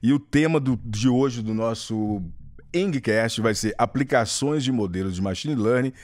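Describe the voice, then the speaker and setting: A man's voice: medium pitch, a little slow, darkish tone. A man with a Brazilian Portuguese accent hosting a casual podcast, chatty and jokey, often cutting in on his guests. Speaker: podcast host.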